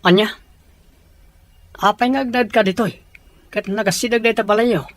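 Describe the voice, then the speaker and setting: Woman voicing a reluctant young man, middle pitch, exasperated, earnest, audio drama acting. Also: moderate pace, no echo, natural voice. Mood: annoyed.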